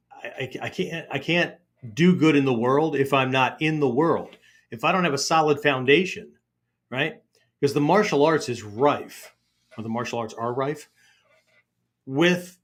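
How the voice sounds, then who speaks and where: Middle-aged pennsylvania guy rambling on his podcast, says right? a lot, mid-pitched voice. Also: fairly bright tone. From Pennsylvania, United States. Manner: keeps tagging "right?" and restarting phrases. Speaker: podcast host and martial arts teacher. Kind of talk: rambling casual monologue.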